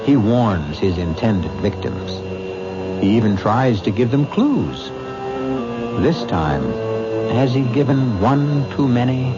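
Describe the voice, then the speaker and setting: A man hosting a radio drama with formal, measured, ominous scripted narration. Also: mid-range voice, sing-song. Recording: muffled old radio broadcast. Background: loud music.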